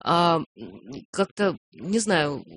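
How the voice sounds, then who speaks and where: A young adult woman from Novosibirsk, a radio news presenter chatting on air, self-deprecating and often laughing, with halting fillers. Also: fairly low pitch, sing-song, fairly bright tone. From Novosibirsk, Russia. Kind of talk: casual on-air chat.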